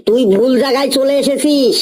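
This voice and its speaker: A woman's voice: sits high, brisk, dark tone. A woman voicing an exasperated male householder in a comic cartoon, scolding in an incredulous, indignant way. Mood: baffled, annoyed.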